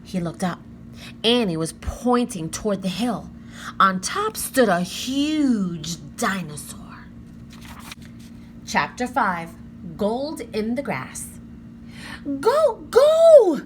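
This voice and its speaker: A woman narrating a children's book aloud with expressive, careful, dramatic phrasing. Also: medium pitch, slow pace, long pauses, a little hiss or hum. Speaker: storybook reader.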